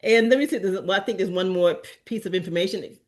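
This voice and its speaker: A woman's voice: medium pitch, quick pace. A middle-aged woman from Antigua speaks casually to a community gathering. Her talk wanders, broken up by frequent ums and restarts. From Antigua and Barbuda. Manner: meandering, with many fillers and restarts. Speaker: community presenter at an association meeting. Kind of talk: casual informal talk to a meeting.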